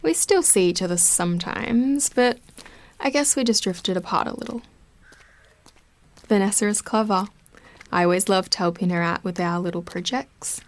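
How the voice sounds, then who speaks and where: A young woman voiced by an actress with a medium-pitched voice in an audio drama. She comes across as guarded and matter-of-fact, keeping her delivery casual and conversational. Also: normal speed, close to an everyday voice. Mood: calm, matter-of-fact.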